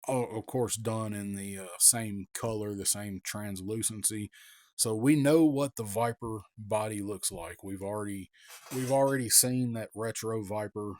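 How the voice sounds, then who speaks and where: A man with a cold, raspy, giving a casual, rambling toy review with many ums and restarts. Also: on the low side, unhurried, darkish tone, no echo, clean studio sound.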